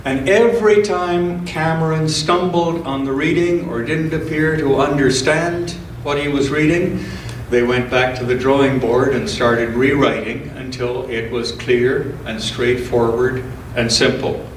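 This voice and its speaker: A man speaking as a scripture reader at a church service, with a measured, deliberate delivery. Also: medium pitch. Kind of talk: church scripture reading with informal introduction. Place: indoors in a church. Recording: roomy church sound, distant mic, faint noise.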